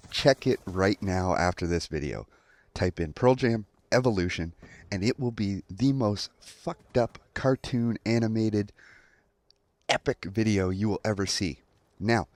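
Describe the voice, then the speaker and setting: A man's voice: low voice, dry recording. A young adult man telling a story in a casual commentary style, acting out quotes as he goes. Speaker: online storyteller.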